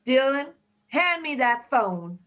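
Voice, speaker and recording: A woman's voice: sits high, sing-song, slow, fairly bright tone, exaggerated voice. A woman in an amateur radio drama playing a weary, exasperated wife and mother. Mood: exhausted, exasperated.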